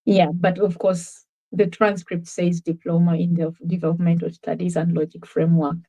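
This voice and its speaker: A woman's voice: on the low side, level tone, moderate pace, dark tone, highs cut off. A woman from Uganda with a Ugandan accent, giving an earnest, reflective account of her own life as a guest in a conversational interview. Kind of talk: personal testimony in conversation.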